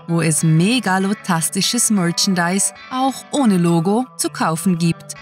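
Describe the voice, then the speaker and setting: A woman reading a scripted podcast outro as the presenter, addressing listeners directly. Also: medium pitch. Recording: faint guitar music behind. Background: faint guitar music.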